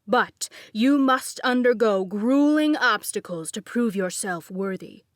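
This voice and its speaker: A woman's voice: a little high, expressive, slow pace, dry recording. A woman voicing a young man who fakes a commanding ceremonial voice, pompous and formal, in a dry, close recording. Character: young man faking a booming ritual voice.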